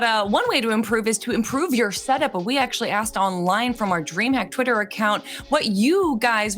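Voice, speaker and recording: A woman's voice: medium pitch, fairly bright tone. A woman presenting a live esports broadcast as host, with a conversational, enthusiastic delivery. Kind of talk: live esports desk commentary. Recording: music playing behind the voice.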